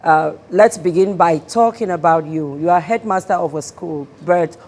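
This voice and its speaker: A woman's voice: fairly low pitch, normal speed, a little mellow. A woman with a Cameroonian accent hosting a TV talk show and interviewing in a conversational way. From Northwest region of Cameroon. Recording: music in the background. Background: music.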